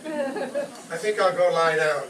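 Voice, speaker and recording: A man's voice: high voice, very animated, slow pace, fairly bright tone, heavily acted. A man playing a weary, exasperated father in a 1940s radio comedy. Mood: weary, firm. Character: weary, exasperated father wanting some peace. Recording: echoey hall, distant, noisy.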